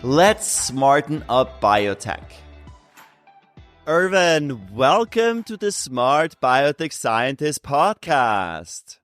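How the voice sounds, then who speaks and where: A man hosting an interview podcast, asking deliberate questions. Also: medium pitch, big pitch swings, fairly bright tone, faint music behind. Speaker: podcast host.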